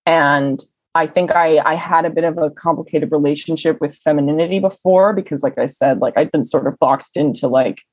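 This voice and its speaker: A young woman telling stories in a casual interview, chatty and animated, with lots of "um" and "like". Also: deep voice, fairly quick, dry recording. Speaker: interview guest. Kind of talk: casual conversational storytelling.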